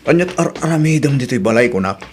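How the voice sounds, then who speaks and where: A man's voice: medium pitch, lively intonation, fast talker, slight background noise, natural voice. Stern, curt Ilocano widower, acted by a man. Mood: irritated. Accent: Filipino, Ilocano. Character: stern, exasperated widowed father, protective and blunt. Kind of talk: Ilocano radio-style drama acting.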